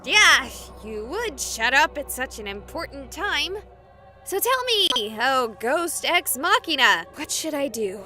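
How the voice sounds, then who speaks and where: A woman's voice: high-pitched, very animated, takes it slowly, sharp tone, soft background music, full character voice. An actress voicing a young woman streamer, skeptical and sarcastic but rattled by a haunting. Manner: sarcastic, flustered. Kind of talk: visual novel voice acting.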